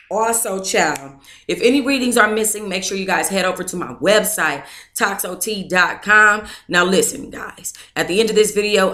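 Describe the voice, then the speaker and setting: A woman's voice: on the low side, sharp tone. A woman hosting a book club, reading a book aloud on a live stream and chatting casually with her viewers between passages. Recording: echoey room.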